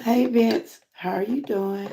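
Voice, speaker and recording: A Southern woman from Georgia with a hoarse voice, chatting casually with viewers on a live stream from home. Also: mid-range voice, takes it slowly, noisy background. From Georgia, USA. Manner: relaxed, chatty, greets viewers often. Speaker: lifestyle vlogger and channel host.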